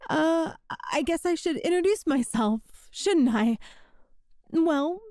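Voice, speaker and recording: A woman's voice: high-pitched, expressive, takes it slowly, a little mellow, no room sound, clean studio sound. A woman playing an awkward, chatty time traveler from the far future in an ASMR roleplay, rambling and hesitant. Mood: flustered, awkward. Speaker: audio roleplay performer.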